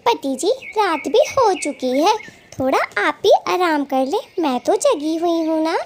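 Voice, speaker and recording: A woman voicing a caring but feisty little female bird in a children's cartoon, earnest and expressive. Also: extremely high pitch, a little slow, a little hiss or hum. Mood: caring, worried. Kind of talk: children's cartoon story acting.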